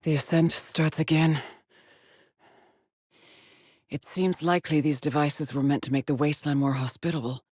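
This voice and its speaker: An actress voicing a weary, driven middle-aged woman exploring space in a video game, with a husky, clipped and solemn delivery. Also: sits low, fairly even intonation, normal speed, pauses often, darkish tone, no room sound, studio quality. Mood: focused, measured. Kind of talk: dramatic game voice lines, terse log entries.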